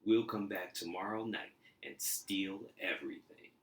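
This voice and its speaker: A man's voice: low-pitched, measured pace, a bit bright, roomy sound. A man voicing a sneaky, scheming burglar in a storybook reading. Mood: sly, eager.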